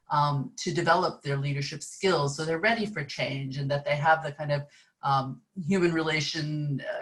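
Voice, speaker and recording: A woman interviewer poses a long, carefully built question. She talks at an even pace and stops often to search for her next word. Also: low voice, rough recording. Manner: measured, searching for words. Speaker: interview host.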